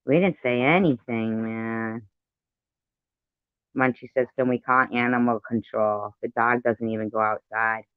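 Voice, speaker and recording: A man's voice: medium pitch, steady tone, unhurried, smooth, no room sound. A man hosting a casual commentary, reacting in a blunt, indignant way. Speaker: host of a commentary stream. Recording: narrow tinny phone-like sound, dry.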